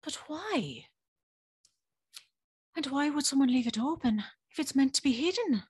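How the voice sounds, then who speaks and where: A woman's voice: high voice, lively intonation, a little slow, a little mellow, no echo, studio quality. An actress playing a timid, curious, polite young servant girl in an audio drama, telling her story in a hesitant, wondering way. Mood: nervous, curious. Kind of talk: audio drama acting with first-person storytelling.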